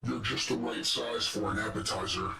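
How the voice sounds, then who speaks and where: A man's voice: fairly low pitch, steady tone, normal speed, gravelly, pushed and bright, distant mic, full character voice. A man voicing a menacing, arrogant robot henchman in a video game, fiery and sadistic, with taunting, condescending lines. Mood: contemptuous. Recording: echoey room, off the microphone.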